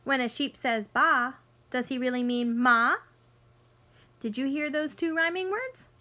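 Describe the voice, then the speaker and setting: Slow, high-voiced woman reading to children. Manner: expressive, sing-song, simple teacherly phrasing. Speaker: teacher reading a picture book aloud. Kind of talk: children's read-aloud with questions to young listeners.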